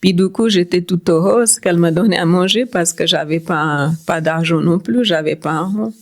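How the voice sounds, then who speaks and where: A woman's voice: on the low side, normal speed. A middle-aged woman from Cape Verde whose first language is Creole gives a personal testimony as a podcast guest, repeating words and phrases for emphasis.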